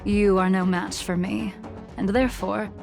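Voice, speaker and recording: An actress voicing a calm, solemn swordswoman in a video game, with a cool, measured, formal delivery. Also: medium pitch, creaky. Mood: solemn, resolute. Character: calm, solemn, veiled swordswoman of great composure. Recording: music behind the voice.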